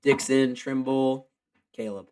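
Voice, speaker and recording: A man with a stuffy, congested voice doing live basketball play-by-play with casual, excitable reactions. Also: mid-range voice, takes it slowly, clean tone. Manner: excitable, shouts on big plays. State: congested from a cold. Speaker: live play-by-play commentator and streamer.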